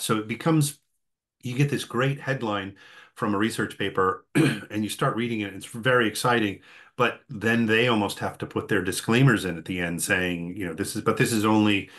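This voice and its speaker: A man hosting a writers' workshop conversation, talking in a halting way with many fillers and restarts. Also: fairly low pitch, fairly bright tone. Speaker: host. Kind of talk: conversational interview.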